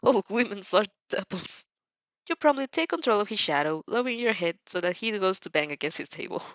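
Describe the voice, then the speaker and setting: Female fan fiction narrator, expressive pitch, slightly stumbling phrasing, muffled recording. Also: fast, no room sound. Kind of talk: animated second-person story narration.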